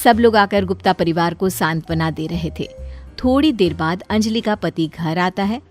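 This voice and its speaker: A woman's voice: lowish voice, moderate pace, darkish tone, faint music behind. A woman reading scripted narration as the storyteller of a moral tale.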